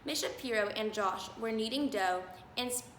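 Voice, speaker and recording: High-voiced woman reading in an echoey room. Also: normal speed, fairly bright tone. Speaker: storybook reader. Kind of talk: children's storybook read-aloud. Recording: roomy echo, faint background noise.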